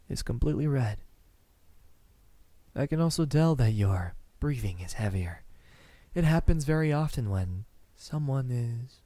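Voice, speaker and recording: A man playing a courteous, lovestruck vampire in an intimate ASMR roleplay, speaking softly in formal words with hesitant pauses. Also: mid-range voice, no echo. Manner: hesitant, soft-spoken, formal wording with lingering pauses.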